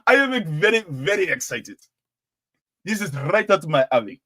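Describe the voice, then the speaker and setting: A man's voice: on the high side, normal speed, no room sound. Chatty young man, dry close sound. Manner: chatty and rambling, excitable bursts. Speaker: political commentary host. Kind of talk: casual live-stream sign-off chat.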